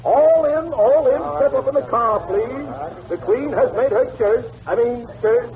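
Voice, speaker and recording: An actor playing a comic court bouncer in a 1940s American radio comedy, calling out mock-formal announcements. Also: male voice, extremely high pitch, unhurried, a crowd in the background. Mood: officious. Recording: noisy, dull old radio recording.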